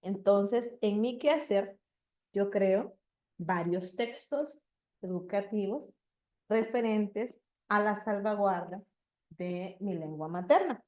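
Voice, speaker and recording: Slow, deliberate woman presenting. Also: medium pitch, mellow. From Honduras. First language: Garifuna. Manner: slow and deliberate, teacher-like with call and response. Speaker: guest speaker, language promoter. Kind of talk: presentation to an audience.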